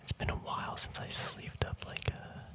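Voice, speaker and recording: Whispering man, breathy ASMR. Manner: rambling, unhurried thinking aloud. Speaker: ASMR host. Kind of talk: whispered ASMR, casual chat to viewers. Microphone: mic very close to the mouth and nose.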